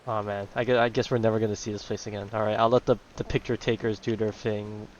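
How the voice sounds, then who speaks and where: A man's voice: lowish voice, level tone, quick pace, highs cut off, slight background noise. A man giving casual gameplay commentary in an offhand way, as if talking to himself. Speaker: gamer commenting on a playthrough.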